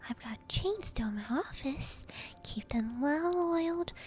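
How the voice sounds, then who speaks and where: A woman's voice: high voice, lively intonation, a little slow, a little mellow. Woman putting on a gleefully cruel, maniacal caretaker man. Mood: gleeful menace. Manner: gloating, menacing.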